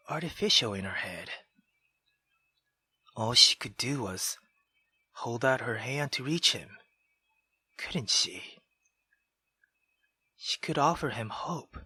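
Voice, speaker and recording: Theatrical man with a bright, forceful voice. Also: mid-range voice, husky, no room sound. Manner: theatrical, slangy, exclamatory. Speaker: let's player reading a visual novel aloud. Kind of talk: excitable commentary and dramatic read-aloud narration.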